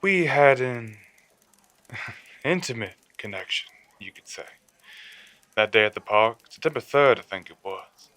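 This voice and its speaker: A man's voice: mid-range voice, animated, measured pace, long pauses, bright, forceful tone, no echo. A man playing a sinister, gloating killer who phones a radio show, taunting and theatrical, in a radio drama. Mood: gloating, creepy. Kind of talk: radio drama villain acting.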